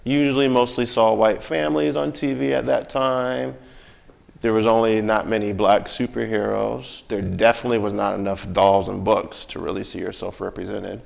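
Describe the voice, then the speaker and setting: An American man with a fairly low voice and a gravelly creak. He talks in a casual, reflective way, thinking through personal experience and often tagging his points with little checks for agreement. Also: steady tone, moderate pace. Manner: conversational, often seeking agreement with tag questions.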